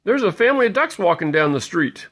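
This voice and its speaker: A man voicing an excitable policeman in a children's picture book, calling out urgently. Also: sits high, fast, creaky, pitched above the performer's own voice, full character voice. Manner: urgent, yelling. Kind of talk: read-aloud picture book.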